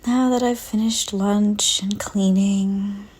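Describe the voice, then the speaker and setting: A woman narrating a guided visualization in a soft, breathy voice that often nears a whisper, calm and gentle with long pauses. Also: medium pitch. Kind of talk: slow guided visualization, soothing scripted narration.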